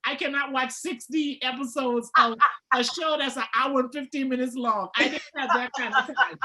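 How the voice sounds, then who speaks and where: A woman from the Bahamas hosting, telling stories in a lively way and laughing often. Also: sits high, moderate pace. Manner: animated storyteller, laughs often. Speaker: host. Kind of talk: casual panel talk.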